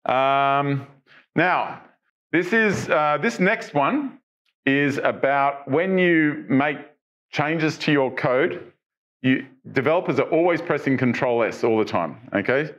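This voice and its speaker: A man's voice: on the high side, lively intonation, slow pace, fairly bright tone, lo-fi sound. Chatty middle-aged man presenting tech news. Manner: chatty, asks viewers questions, frequent ums and restarts. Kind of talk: casual tech walkthrough. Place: studio stage with dark backdrop.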